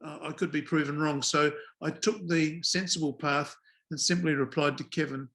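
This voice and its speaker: An older man tells anecdotes as a podcast guest. His voice sits a touch high for a man, and his humour is dry and understated. He speaks at a measured pace with frequent small pauses. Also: steady tone, a bit bright. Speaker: guest, former cricket umpire. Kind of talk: relaxed storytelling in a podcast interview.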